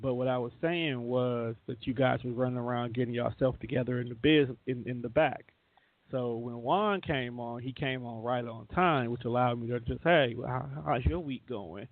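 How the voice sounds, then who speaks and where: A man's voice: mid-range voice, moderate pace, no room sound. A man hosting a radio show in casual, conversational group chat, explaining things informally. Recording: narrow tinny phone-like sound.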